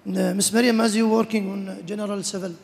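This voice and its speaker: High gravelly guy asking a question at a conference, hesitant, lots of uhs, big echoey hall. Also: flat delivery, fast talker, a little mellow. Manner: hesitant, many fillers, non-native phrasing. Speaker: audience member asking a question. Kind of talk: audience question. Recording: reverberant hall.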